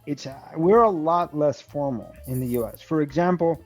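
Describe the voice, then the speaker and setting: A man's voice: fairly high pitch, moderate pace, soft background music. Male tutor, explaining conversationally. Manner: explanatory, acts out short examples. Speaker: English tutor. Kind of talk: conversational online lesson. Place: online video call.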